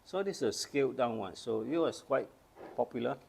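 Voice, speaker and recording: Older man from Malaysia, gravelly, methodical show-and-tell explainer. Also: medium pitch, expressive, brisk, darkish tone. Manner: patient, explains step by step with hands-on demos. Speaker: camera collector. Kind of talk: casual show-and-tell demonstration.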